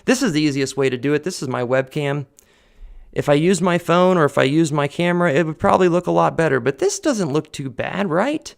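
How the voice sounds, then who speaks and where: A man speaks into a desk mic at home, loud and earnest, rambling through fillers and restarts. His voice is gravelly yet resonant and sits a little high for a man. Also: animated, a little fast. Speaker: vlogger. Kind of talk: casual personal talk to camera. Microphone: desk condenser mic on a boom arm.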